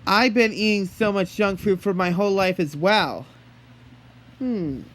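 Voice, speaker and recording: A man talking in an earnest, halting way, as if holding a one-sided phone conversation. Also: sits high, a bit bright. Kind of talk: one-sided pretend phone call, rambling monologue.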